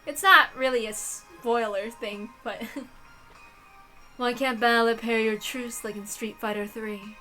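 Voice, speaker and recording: A woman's voice: on the high side, fairly even intonation, slow pace, sharp tone, soft background music, low-quality recording. A woman reading a visual novel aloud as dramatic scripted narration.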